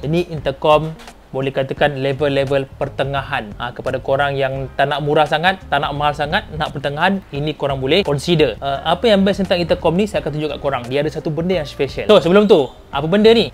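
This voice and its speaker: A Malaysian man in his thirties giving a casual, enthusiastic, slangy unboxing presentation into a clip-on mic. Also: on the high side. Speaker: motovlog host. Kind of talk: casual product unboxing vlog. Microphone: clip-on lapel mic. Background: music.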